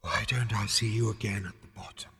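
A man voicing a courteous, wistful disembodied spirit with a quiet, deep, ethereal murmur, speaking slowly and formally, as in an audiobook. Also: steady tone, breathy edge, long reverb tail, full character voice. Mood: soothing, helpful. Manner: slow, politely formal, soothing.

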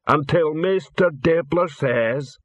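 A man voicing a hulking, slow-witted troll henchman, ponderous, obedient and proud, in an audiobook story. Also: on the high side, no room sound, highs cut off, voice pushed up. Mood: dull, firm. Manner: ponderous, simple-minded.

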